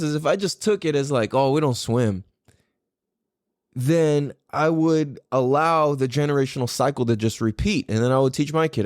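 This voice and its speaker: A man's voice: mid-range voice, darkish tone, no room sound, clean studio sound. A man hosting a podcast in a casual, rambling, opinionated way, speaking animatedly and swearing freely. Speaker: podcast host.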